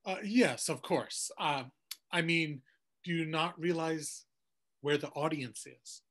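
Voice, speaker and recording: A middle-aged man moderating in a scripted comic exchange, hesitant and halting. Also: fairly high pitch, a bit bright. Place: indoor room at night.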